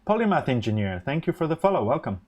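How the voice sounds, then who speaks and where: A man's voice: medium pitch, very animated, fast, darkish tone. A man whose first language is Polish, hosting a live stream and chatting casually while he works, rambling with many fillers and restarts. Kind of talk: casual chat while designing electronics.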